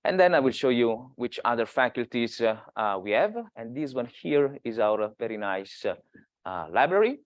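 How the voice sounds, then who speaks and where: A man's voice: mid-range voice, animated, normal speed, no echo. A man in his thirties from Italy with an Italian accent, giving an academic seminar talk, hesitant with many filler sounds. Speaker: guest speaker.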